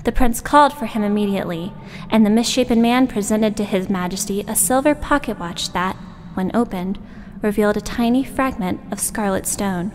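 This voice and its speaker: A woman's voice: medium pitch, normal speed, a little hiss or hum. Woman narrating a fairytale in long reverb. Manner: dramatic storytelling.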